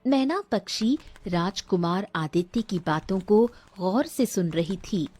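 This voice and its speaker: A woman's voice: mid-range voice, lively intonation, slow, darkish tone, dry recording, soft background music. A woman storyteller giving scripted narration of a fairy tale.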